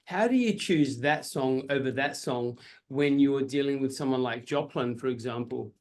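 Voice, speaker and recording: A man's voice: mid-range voice, normal speed, a little mellow. An Australian man hosting an interview, casual and enthusiastic, full of hype for the show. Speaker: radio host. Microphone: video call.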